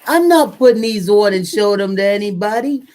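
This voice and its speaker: An older woman co-hosting a casual live chat, telling animated stories and laughing often. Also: mid-range voice, normal speed. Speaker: livestream co-host.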